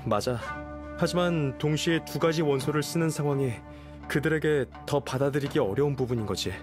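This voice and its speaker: A composed young nobleman from a video game, played by a male actor, with a fairly high male voice. He explains things formally and courteously, in a measured, reassuring way, over background music. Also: natural voice. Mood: calm, persuasive. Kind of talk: game voice acting. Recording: music behind the voice.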